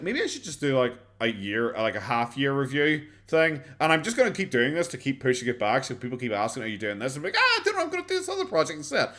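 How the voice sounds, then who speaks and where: A man's voice: medium pitch, a bit bright. An Irish man streaming a casual reaction chat, sarcastic, swearing often and laughing a lot.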